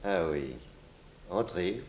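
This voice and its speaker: An actor playing a cool, enigmatic male sculptor in a 1960s French radio drama, speaking in terse, measured lines. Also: sits low, animated, a little mellow, a little hiss or hum. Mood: curt, polite.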